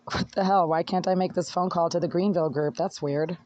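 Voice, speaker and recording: Hoarse, aggrieved tenant ranting. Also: mid-range voice, fairly even intonation, fairly quick, a little mellow, highs cut off. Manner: aggrieved, rambling, talks while fiddling with phone. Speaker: tenant filing complaints. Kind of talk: agitated self-recorded complaint monologue. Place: apartment indoors. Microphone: phone held by speaker.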